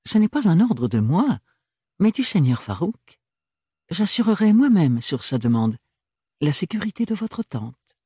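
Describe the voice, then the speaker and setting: A man's voice: sits high, very animated, measured pace, long pauses, dark tone, husky, no room sound, studio quality, voice pushed up, heavily acted. A man voicing a smug, ironic male ambassador who talks in an airy, mocking, sugary way. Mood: jovial.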